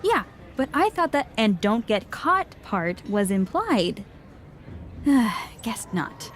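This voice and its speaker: A woman's voice: on the high side, very animated, takes it slowly, a little hiss or hum. A woman playing a wry, sceptical confidante in a video game, conversational and sardonic. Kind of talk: video game cutscene dialogue.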